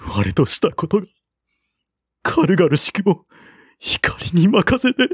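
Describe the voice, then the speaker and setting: A man's voice: sits high, wildly swooping pitch, slow, slightly rough, no echo, studio quality, voice pushed up, heavily acted. Man acting impassioned warlord king, raised voice. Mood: grieving. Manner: dramatic, archaic lordly speech. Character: emotional warlord king, impulsive, torn by grief.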